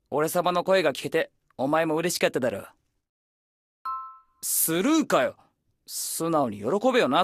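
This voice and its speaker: Brash, animated young man. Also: fairly high pitch. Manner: cocky, grumbling, rough casual speech. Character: brash, proud young man, flustered and bossy. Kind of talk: anime-style phone call drama.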